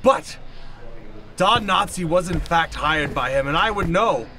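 A man's voice: on the high side, expressive, unhurried, bright, forceful tone, a little hiss or hum, exaggerated voice. A man playing a blustering, eccentric older professor in an improvised radio comedy, theatrical, pompous and scolding. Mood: indignant, explaining. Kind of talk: improvised old-time radio comedy acting.

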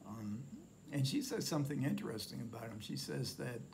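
An older man in his sixties talks through a film review from his living room, pausing often with fillers and restarting his sentences. His voice sits at a middle pitch. A faint room hiss sits under the webcam recording. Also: animated, vocal fry, a little mellow. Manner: hesitant, many fillers and restarts. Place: home living room, indoors.

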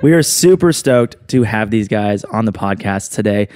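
A man's voice: lowish voice, expressive, normal speed, darkish tone. A man co-hosting a podcast, upbeat and laid-back. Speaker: podcast co-host. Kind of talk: casual podcast chat.

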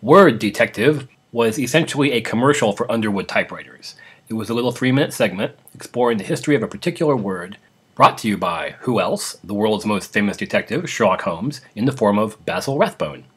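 A man hosts a podcast about old radio. His voice is rough and slightly low as he gives an informal introduction to vintage programs. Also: animated, a little fast, fairly bright tone. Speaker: podcast host.

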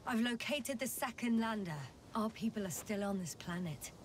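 Actress as higher-voiced weary woman space explorer. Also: moderate pace, distant mic, slight background noise, close to an everyday voice. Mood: focused, businesslike. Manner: matter-of-fact radio-style reporting. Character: weary, dutiful woman scientist exploring an alien planet. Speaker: scientist astronaut on a planetary mission. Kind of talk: video game voice acting, naturalistic.

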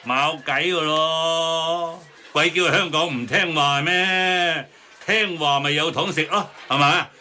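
A man's voice: on the high side, slow, pushed and bright, exaggerated voice. An actor playing an earnest pro-establishment businessman in a satirical radio drama, speaking Hong Kong Cantonese. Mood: earnest, insistent.

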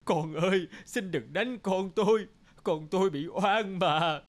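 A man's voice: high voice, slow. A man playing an elderly village parent in a cartoon folktale, imploring desperately and protectively. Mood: anguished. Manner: imploring, anguished. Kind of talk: animated folktale acting.

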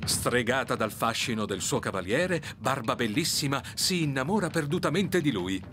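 Animated male storyteller for children. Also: mid-range voice, normal speed. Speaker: cartoon narrator. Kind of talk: scripted children's story narration. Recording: music behind the voice.

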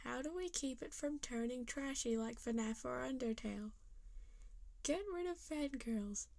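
A woman reads fan comics aloud in an informal manner. Her voice is high and unhurried, with noticeable creak. The sound is close and dry. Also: a little mellow, no echo. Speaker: amateur comic dubber. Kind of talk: reading fan comics aloud, voicing characters.

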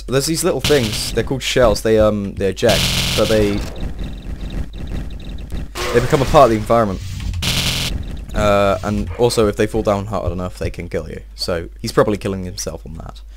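A young man giving casual, excitable let's-play commentary, swearing and talking to himself, his voice a little strained. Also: on the low side, normal speed, noisy background. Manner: excitable, swears often, talks to himself while playing. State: slightly strained voice. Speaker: gameplay video host.

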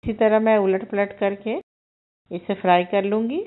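Calm woman narrating a cooking demo. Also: medium pitch, normal speed, phone-quality sound. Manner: calm, instructive, narrates each action as she does it. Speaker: cooking channel host. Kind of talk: step-by-step cooking voice-over.

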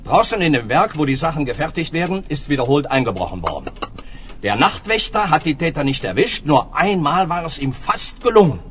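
An actor playing a courteous, formal police inspector in a children's audio play, explaining things earnestly. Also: male voice, on the high side, big pitch swings, a little fast, fairly bright tone, slight background noise.

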